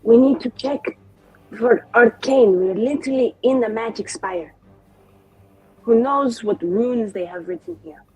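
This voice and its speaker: A woman's voice: a little high, animated, a little slow, a little mellow, faint music behind, poor audio. A woman chatting casually as a player in a tabletop roleplaying game.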